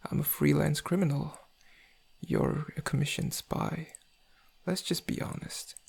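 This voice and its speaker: Smug, seductive male criminal played by a man for ASMR roleplay; husky, slightly higher-set voice, intimate drawl with menace underneath. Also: huge pitch swings, a little slow, darkish tone, heavily acted. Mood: coaxing. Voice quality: gravelly, husky. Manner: intimate, teasing, drawling, often dropping to breathy murmurs. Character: suave, menacing male thief, flirtatious and smug.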